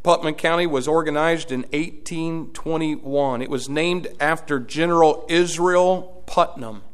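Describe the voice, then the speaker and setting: Older pastor guy preaching, kinda high voice, gets loud then quiet again. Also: measured pace, clean tone. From Indiana, USA. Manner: impassioned preaching that swells and drops in volume. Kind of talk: sermon.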